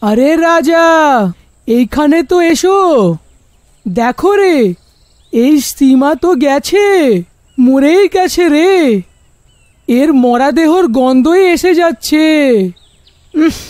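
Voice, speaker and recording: A man's voice: extremely high pitch, slow pace, long pauses, a little mellow, no room sound. A man voicing a brash, bantering village man in a cartoon, drawling and exclamatory. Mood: disgusted. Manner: drawling, exclamatory, repeats himself.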